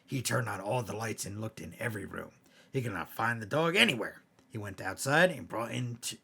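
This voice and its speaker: A man with a low, raspy voice tells horror tales like a bedtime storyteller. His delivery is theatrical and animated, swelling for emphasis and dropping to a hush. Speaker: storyteller, host. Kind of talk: dramatic scary bedtime story narration.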